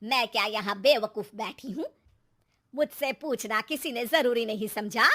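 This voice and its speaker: A bossy cartoon mother-in-law, played by a woman, quick to anger. Her voice is forceful and fairly high, full of sarcastic nagging. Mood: angry, sarcastic. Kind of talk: cartoon story acting.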